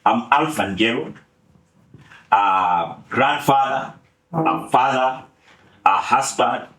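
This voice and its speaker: A man in his seventies from Kenya, giving a relaxed, humorous account of his career with many pauses. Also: medium pitch, roomy sound, low-quality recording. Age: 70s. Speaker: guest speaker. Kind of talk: relaxed storytelling talk.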